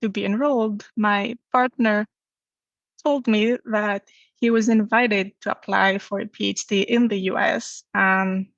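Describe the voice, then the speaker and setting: A woman with a Greek accent recounts personal experiences in a conversational interview style. Her pitch sits in the middle, and the sound is close and dry, slightly dull and lo-fi. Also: fairly even intonation, measured pace, vocal fry, no echo. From Greece. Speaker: podcast guest, academic researcher.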